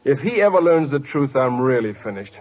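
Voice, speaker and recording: An actor playing an earnest, polite, hesitant American ballplayer in a vintage radio drama. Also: male voice, mid-range voice, sing-song, a little fast. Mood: troubled, resigned. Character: earnest, flustered, soft-hearted man turned secret ballplayer. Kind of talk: 1940s-50s American radio acting. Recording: muffled old radio broadcast recording.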